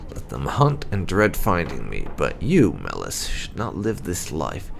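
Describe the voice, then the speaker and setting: Deep-voiced, gravelly man reading game lore. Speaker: gameplay channel host.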